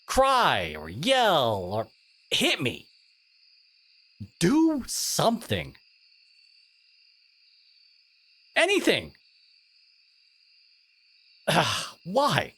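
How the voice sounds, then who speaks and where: Someone acting a brash, exasperated teenage bully, wildly animated. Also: mid-range voice, very slow, pauses often, breathy edge, no room sound, heavily acted. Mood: exasperated, goading. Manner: exasperated, confrontational, sighing, emphatic. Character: brash teenage school bully, abrasive but secretly caring. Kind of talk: dramatic audio roleplay monologue addressed to listener.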